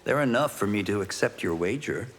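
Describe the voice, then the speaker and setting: An actor playing a humble, good-natured martial-arts champion in a video game, courteous and sincere. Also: male voice, on the low side, expressive, fairly quick, slightly rough. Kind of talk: video game character dialogue. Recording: long reverb, faint background ambience.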